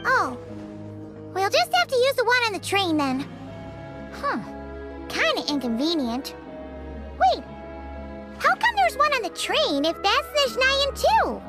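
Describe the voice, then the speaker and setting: A woman voicing a small, childlike fairy companion with a squeaky, chirpy, fretful and chatty voice in a video game cutscene. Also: wildly swooping pitch, a little slow, pauses often, exaggerated voice. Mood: curious. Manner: chirpy, refers to herself in third person. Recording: music playing behind the voice.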